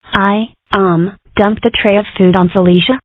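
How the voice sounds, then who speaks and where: A woman's voice: mid-range voice, fairly even intonation, measured pace, dry recording, close to an everyday voice. A woman voicing a sarcastic, rebellious young furry schoolgirl in a scripted comic-strip dub. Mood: indignant. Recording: muffled, phone-like narrow band.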